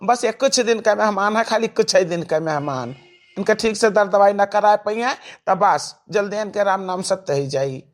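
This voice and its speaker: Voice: medium pitch, normal speed, soft background music, lightly acted. An actor voicing a blunt, money-minded village doctor in a cartoon comedy, with an Awadhi accent and matter-of-fact delivery.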